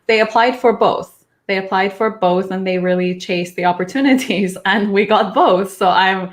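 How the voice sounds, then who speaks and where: A woman's voice: medium pitch, moderate pace. A young woman from Iran, an immigration lawyer, talking casually as an interview guest, laughing often and restarting her sentences.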